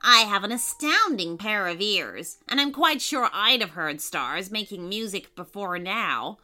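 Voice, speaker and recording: A woman's voice: medium pitch, animated, unhurried, pushed and bright. A woman voicing a prim, self-important female bat in a children's story, fussy and scoffing. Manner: fussy, pompous.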